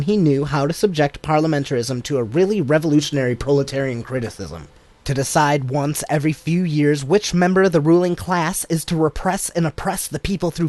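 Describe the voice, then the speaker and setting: A man reads a political book aloud for an audiobook, his voice a touch higher than most men's. His delivery is measured and emphatic, leaning hard on key phrases. The sound is dry and close, with no room around it. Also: a little mellow, no echo. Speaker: audiobook reader. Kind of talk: reading a political text aloud.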